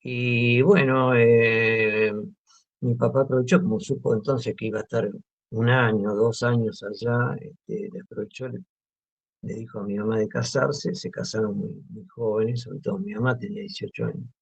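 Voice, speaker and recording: An Argentine man speaks in a gravelly, creaky voice, pausing often and restarting his sentences. The sound is muffled and lo-fi, as if from a modest interview recording. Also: medium pitch, steady tone. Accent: Argentine Spanish. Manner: hesitant, many fillers and restarts. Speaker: guest artist. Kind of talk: relaxed interview talk. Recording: muffled lo-fi audio.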